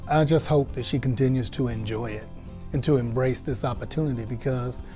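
A man's voice: medium pitch, brisk, warm tone. A man in his forties talking in a sit-down interview on a lapel mic. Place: indoor studio. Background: instrumental music.

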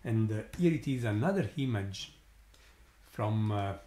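Slow Italian-accented man, awed, echoey. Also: on the low side, lively intonation, mellow. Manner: slow, halting, many fillers, awed. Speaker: astronomer hosting a live eclipse stream. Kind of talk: live-stream commentary.

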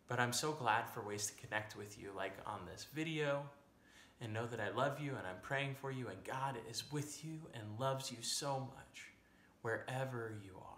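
Bright-voiced young American male pastor. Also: medium pitch. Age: young adult. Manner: simple, reassuring phrasing for young listeners. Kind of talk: children's sermon to camera. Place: small home room, indoors. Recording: echoey room, faint background noise.

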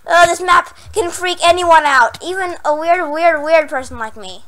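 A young woman playing a horror game, hoarse from losing her voice, jumpy and prone to yelps and squeals when startled, then chatty again. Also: extremely high pitch, normal speed, a bit bright. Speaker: gaming channel host. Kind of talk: Minecraft horror map let's play.

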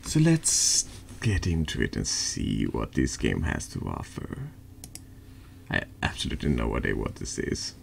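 A man with a deep voice narrating a horror text game in a deliberate, dramatic ASMR storytelling style. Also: wildly swooping pitch, creaky, a little hiss or hum. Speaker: streamer reading a text adventure game aloud.

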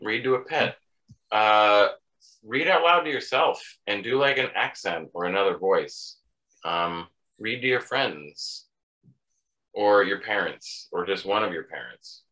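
Bright, low-voiced man telling rambling stories. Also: lively intonation, unhurried, low-quality recording. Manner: rambling, offhand, dry humor. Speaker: band member. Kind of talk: casual chat. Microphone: video call.

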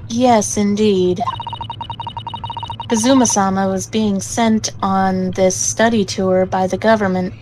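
A man's voice: sits high, steady tone, slow pace, noisy background, pitched above the performer's own voice. A man voicing a polite young woman assistant. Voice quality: soft, formal and courteous.

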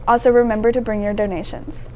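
A woman's voice: fairly high pitch, fairly even intonation, quick pace, a little mellow, slight background noise. A teenage girl co-anchoring a school newscast and reading sports announcements. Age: teens. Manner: scripted announcements. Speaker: student news anchor. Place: school TV studio desk.

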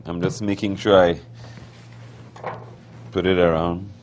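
A man's voice: low-pitched, level tone, slow, a little mellow, a little hiss or hum. A middle-aged man hosting a cooking show, chatting casually with questions and jokey asides. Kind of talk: casual chat while cooking. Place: TV kitchen studio set.

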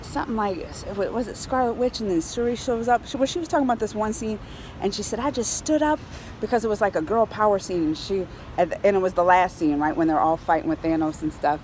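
A middle-aged woman giving a casual, excited review to camera in an animated, colloquial way. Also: medium pitch, fast, low-quality recording. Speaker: vlogger reviewing a film. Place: outdoors on a high-rise terrace in a city. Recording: clear outdoor ambient city noise.

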